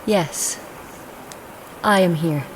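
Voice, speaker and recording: A woman playing a proud, lonely, cursed young daughter of a goddess in an audio drama, speaking in a measured, hushed, solemn way. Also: on the low side, background noise. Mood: guarded. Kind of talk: audio drama acting with first-person narration.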